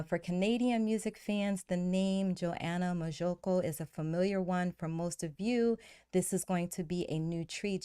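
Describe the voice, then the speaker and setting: A woman hosting a live-streamed podcast, warmly introducing a guest and reading her bio with enthusiasm. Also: lowish voice, clean tone, no room sound, studio quality. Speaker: podcast host.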